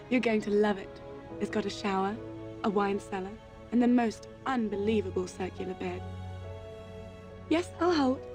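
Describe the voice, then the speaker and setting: An actress playing a confident, flirtatious, pampered socialite in a spy game, teasing and assertive. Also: female voice, medium pitch, a little slow, pauses often, music in the background, natural voice. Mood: playful, assertive. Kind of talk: cinematic spy game acting.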